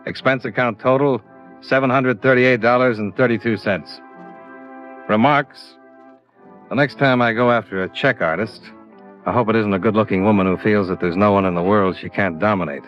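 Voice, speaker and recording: An actor playing a tough, world-weary insurance investigator in a clipped, wry 1950s radio detective style. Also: male voice, lowish voice, normal speed, soft background music, lightly acted. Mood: wry narration. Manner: clipped, wry, hard-boiled. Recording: dull old radio recording.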